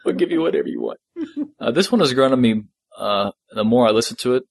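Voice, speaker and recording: A man's voice: mid-range voice, animated, fast, creaky, husky, no echo. A man hosting a podcast, talking casually as a fan discussing a story. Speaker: podcast host.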